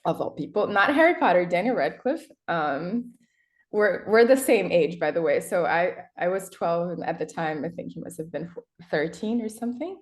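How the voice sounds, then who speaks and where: Chatty woman, lower voice. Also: lively intonation, normal speed. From Brazil. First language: Portuguese. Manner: chatty, laughs often, many fillers and restarts. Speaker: guest, erotic writer. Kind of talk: casual interview chat.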